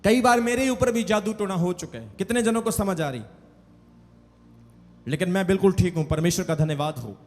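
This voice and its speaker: A man with a Punjabi accent preaching an emphatic, repetitive call-and-response sermon to a congregation. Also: sits high. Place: large church hall, preaching from pulpit. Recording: echoey hall with long reverb.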